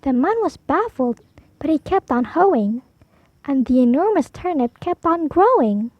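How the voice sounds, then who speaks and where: A woman storyteller reading a picture book aloud for preschoolers, animated, drawing out key words for emphasis. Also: high-pitched, measured pace, dry recording, dull audio. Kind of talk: expressive read-aloud for preschoolers.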